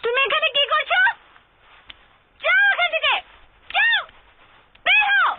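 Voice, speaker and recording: A woman's voice: very high voice, animated, slow, heavily acted. An actress playing an impulsive, clingy young woman in a TV drama, pleading dramatically with a Bangladeshi accent and some English mixed in. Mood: frantic. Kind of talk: TV drama acting. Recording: thin phone-like sound, faint noise.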